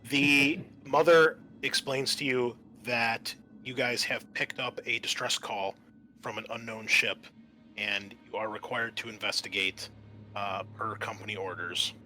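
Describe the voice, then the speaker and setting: A man's voice: mid-range voice, steady tone, measured pace, bright, forceful tone, a little hiss or hum. A man narrating a tabletop game as game master in a conversational, explanatory way.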